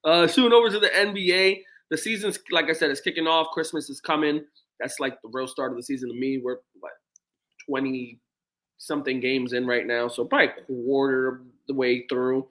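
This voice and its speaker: A man's voice: medium pitch, fairly even intonation, moderate pace, fairly bright tone. A man co-hosting a casual sports podcast, laid-back and rambling, with the odd swear. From United States. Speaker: podcast co-host.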